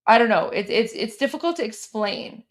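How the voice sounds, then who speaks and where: Chatty high-voiced woman explaining a song. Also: expressive, moderate pace. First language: Persian heritage, English first language. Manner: chatty, many restarts and fillers, explaining. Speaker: livestream co-host. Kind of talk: casual livestream talk translating a song.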